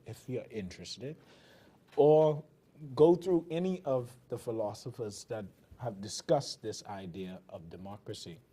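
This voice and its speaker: A man's voice: lowish voice, warm tone, breathy edge. A man from the Bahamas with a Bahamian accent, hosting a TV commentary show and delivering fiery, emphatic political commentary in a preaching cadence. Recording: television broadcast.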